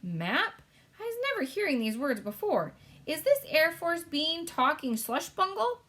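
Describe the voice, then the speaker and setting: Woman voicing a friendly giant, higher pitched, mixed-up words, cheerful, storybook reading. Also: big pitch swings, unhurried, a bit bright, heavily acted. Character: big friendly male giant, muddled talker. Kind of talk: storybook read-aloud.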